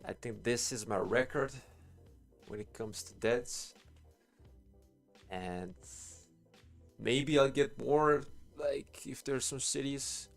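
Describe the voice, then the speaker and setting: A man's voice: mid-range voice, fairly even intonation, slow, gravelly, long pauses, soft background music. A man giving casual, rambling game commentary as a live streamer, thinking aloud in informal language. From Bosnia and Herzegovina.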